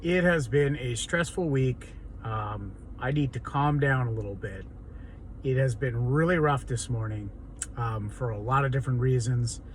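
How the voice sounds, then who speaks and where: A man with a hoarse, raw, raspy voice, talking earnestly and rambling in an unscripted personal vlog monologue. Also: medium pitch, moderate pace, a little hiss or hum. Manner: rambling and earnest, many ums and restarts. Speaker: vlogger.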